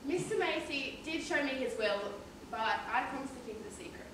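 A woman's voice: sits high, animated, a little fast. A woman playing an aggrieved, gossipy care-home owner with a confiding manner in an amateur stage play. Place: large theatre stage, indoors. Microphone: far camera. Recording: echoey theatre from far off, noisy.

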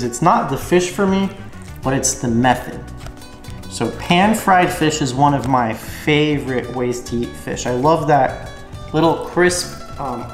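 A man's voice: a little high, reverberant. A young man hosting his own fishing and cooking video, explaining each step of rigging and cooking in a casual, instructive way. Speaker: fishing channel host. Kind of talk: casual how-to narration. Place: home kitchen and boat deck.

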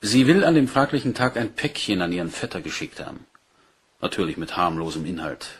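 A man's voice: low voice, brisk, slight background noise. A man acting a dutiful, matter-of-fact police inspector in a German crime radio play, polite and orderly in his delivery. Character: dutiful, matter-of-fact male Scotland Yard detective inspector.

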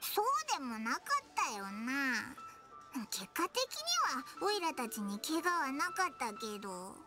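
A woman voicing a tiny, childlike fairy companion in an anime game, feisty and blunt, with a squeaky voice. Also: big pitch swings, unhurried, over music. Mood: grumbling.

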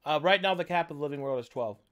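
A man's voice: on the high side, rapid-fire, lo-fi sound. A man acting as dungeon master, chatting casually with players and walking them through setup. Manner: laid-back, talks players through software setup. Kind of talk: casual chat setting up a game.

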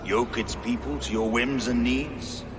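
A man's voice: mid-range voice, fairly even intonation, a little slow, noisy background, close to an everyday voice. A man playing a bitter, defiant villain of a secret order in a video game cutscene, full of menace. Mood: bitter scorn. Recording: long reverb, music behind.

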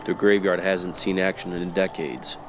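A man playing a wry, world-weary private detective narrating a 1940s-style radio noir, terse, slangy and deadpan. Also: deep voice, normal speed, background noise, close to an everyday voice.

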